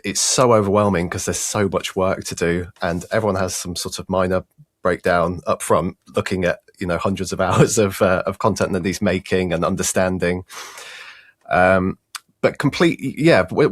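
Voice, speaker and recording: A man answering interview questions as a sound designer, halting and repeating the starts of phrases. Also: low voice, no echo, studio quality.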